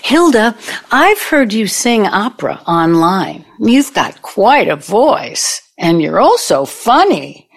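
Woman playing a brazen, slow-talking ex-girlfriend. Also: mid-range voice, very animated, heavily acted. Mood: brazen, reminiscing. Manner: probing, theatrical, blunt. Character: brazen, needling older ex-girlfriend seeking revenge. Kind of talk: audio play acting.

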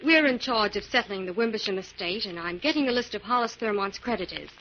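An actress playing a plucky, inquisitive American detective's partner in 1940s radio style, eager and earnest, on a muffled old recording. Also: female voice, medium pitch, lively intonation, fast talker, slight background noise, lightly acted. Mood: polite.

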